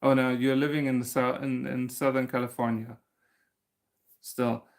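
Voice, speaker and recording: A man's voice: mid-range voice, flat delivery, normal speed, gravelly. A man, hosting a casual livestream and chatting through viewer comments, laughing often and restarting his sentences. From Germany. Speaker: crochet channel host.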